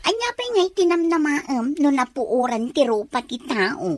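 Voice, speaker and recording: A woman's voice: high-pitched, big pitch swings, unhurried, dry recording. A woman playing a spiteful, gloating gossip of a rival with mocking, exaggerated delivery in a melodrama. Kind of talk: melodrama villain acting.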